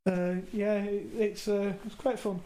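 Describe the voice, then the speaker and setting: Animated podcaster telling stories. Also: medium pitch, a little slow. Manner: animated storyteller, swears freely. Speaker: podcast co-host. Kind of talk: casual chat, anecdote telling.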